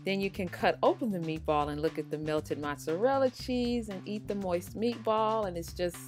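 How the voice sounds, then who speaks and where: A woman's voice: mid-range voice, normal speed, a little mellow. A woman hosting a cooking tutorial, narrating casually in a chatty, informal, encouraging way. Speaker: cooking show host. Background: background music.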